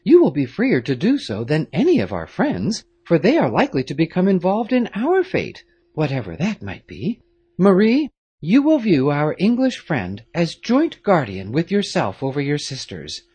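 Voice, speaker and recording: A man voicing a gentle aristocratic French mother in an audiobook, speaking softly and tenderly. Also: fairly high pitch, huge pitch swings, normal speed, husky, pitched above the performer's own voice, heavily acted. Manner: soft, tender.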